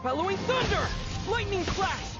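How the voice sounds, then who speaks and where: A spirited young fantasy warrior throws out punchy battle cries in a high, animated voice. The anime-style game audio sounds dull under loud music. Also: female voice, normal speed. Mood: battle-ready.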